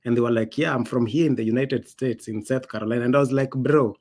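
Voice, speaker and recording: A man's voice: mid-range voice, steady tone, fast, darkish tone. A man from Kenya with a Kenyan accent, hosting a podcast in a casual, emphatic way and acting out quoted dialogue. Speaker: podcast host. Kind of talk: casual conversational storytelling.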